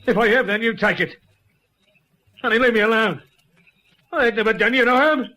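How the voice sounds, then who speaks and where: A man's voice: very high voice, fairly quick, sharp tone. A man playing an old Australian vagrant bushman in a radio drama, speaking in rustic, ungrammatical bush talk. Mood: terrified pleading. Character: frightened old male vagrant bushman, alone with his dog.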